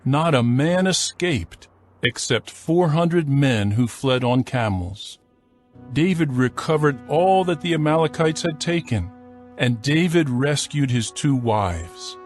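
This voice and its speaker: Measured male scripture narrator. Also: medium pitch, lively intonation, faint music behind.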